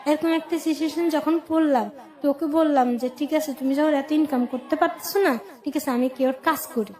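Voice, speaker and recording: Radio guest, female, Bangladeshi accent, high voice, recounting her own life in simple, colloquial short phrases. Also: fairly quick, darkish tone. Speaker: guest telling life story. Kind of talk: personal life-story storytelling on radio.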